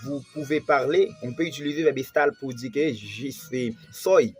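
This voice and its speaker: A man from Haiti delivers a televised Spanish lesson at an ordinary pitch, going over each point again and again and putting weight on the key words. Faint music plays behind him. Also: normal speed. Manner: repetitive, stresses key words. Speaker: Spanish teacher.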